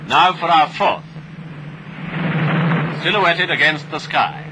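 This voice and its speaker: A man's voice: a little high, takes it slowly, background noise. A British man narrating a scripted 1940s wartime training film in a jaunty, wry, clipped manner, on a vintage soundtrack.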